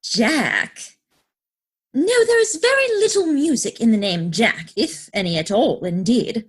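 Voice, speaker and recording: A woman's voice: fairly high pitch, big pitch swings, slightly rough, exaggerated voice. A woman playing a romantic, willful upper-class young lady in a theatrical period comedy, with an affected, gushing manner. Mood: haughty, prim. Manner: affected, gushing, grandly drawn-out delivery.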